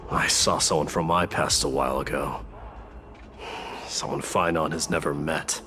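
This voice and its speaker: A man voicing a proud, battle-hardened warrior prince in a video game, grave, guarded and measured. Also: rumbling low, fairly even intonation, sharp tone, soft background music, heavily acted. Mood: grave, thoughtful.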